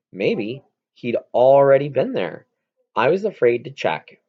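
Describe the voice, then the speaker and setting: A man reads a children's novel aloud in a mid-pitched voice with very lively intonation. His delivery is that of an expressive amateur storyteller working from a script. The recording is somewhat dull and lo-fi, like a simple home setup. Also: gravelly. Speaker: audiobook reader.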